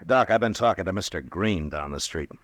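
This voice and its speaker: An actor playing a calm, laconic frontier marshal with dry humor in a 1950s radio drama. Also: male voice, low-pitched, a little fast, no echo.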